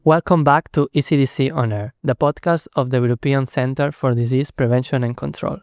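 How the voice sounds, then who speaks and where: A young man hosting a podcast, giving a measured, scripted introduction and steering the conversation. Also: mid-range voice, fairly even intonation, a little mellow, no room sound, clean studio sound, faint music behind. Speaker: podcast host. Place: studio at an office headquarters.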